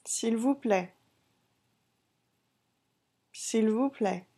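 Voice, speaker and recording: Slow, high-voiced woman teaching phrases. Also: warm tone. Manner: slow, carefully repeated phrases. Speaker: language teacher. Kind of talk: phrase-drill lesson narration.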